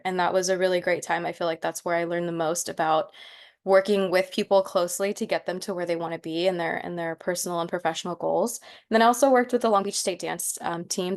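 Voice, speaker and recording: A young woman in her twenties, born in Mexico, giving an encouraging, casual presentation on a video call with fillers. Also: on the low side. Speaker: PA student speaker. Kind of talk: online workshop presentation.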